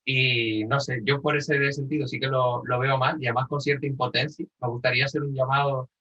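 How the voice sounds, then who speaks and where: Bright, forceful man with a raspy edge. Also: mid-range voice, level tone, brisk, lo-fi sound. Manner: informal, wry, rambling. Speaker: participant in a group discussion. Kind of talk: casual opinion talk.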